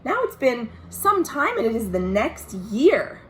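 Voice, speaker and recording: A woman reading a picture book aloud for young children as a librarian, expressively, with asides about the pictures. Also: on the high side. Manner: expressive, pausing to point out pictures. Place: at home. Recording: home video with faint background noise.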